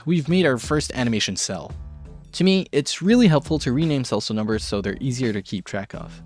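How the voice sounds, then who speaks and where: A man with a mid-pitched voice narrates a casual software and drawing tutorial. He is chatty and informal, with a self-deprecating streak, talking like the host of an online art show. Music plays behind his voice. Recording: background music under voice.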